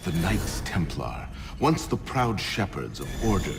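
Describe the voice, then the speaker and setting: A man playing a grave, ominous storyteller in scripted game narration, gravelly and portentous, with drawn-out phrases under loud cinematic music. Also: deep voice, big pitch swings, noisy background, exaggerated voice. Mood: solemn, ominous.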